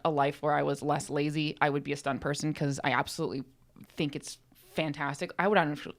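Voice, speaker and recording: A woman co-hosting a podcast, explaining things in an informal, rambling, self-deprecating way. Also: low-pitched. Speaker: podcast co-host and co-writer. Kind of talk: casual explanatory chat. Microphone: podcast microphone.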